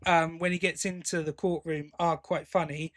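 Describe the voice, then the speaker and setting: A man's voice: a little high, little pitch movement, moderate pace, a bit bright. A man co-hosting a podcast, chatting casually and giving opinions with enthusiasm and frequent restarts. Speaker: podcast co-host. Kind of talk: casual chat reviewing audio drama.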